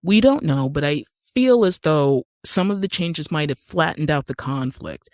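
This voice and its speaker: A woman's voice: low voice, expressive, moderate pace, a little mellow, dry recording, clean studio sound. An American woman speaking as a podcast guest in a casual interview, emphatic and wry. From Utah, United States. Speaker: guest actor and screenwriter.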